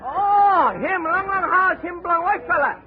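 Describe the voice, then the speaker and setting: A high, shrill street beggar jabbers, repeating his words over and over. It comes through a thin, phone-like old radio broadcast. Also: unhurried, slight background noise. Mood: pleading. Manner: broken, repetitive pidgin chatter. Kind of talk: 1940s radio acting.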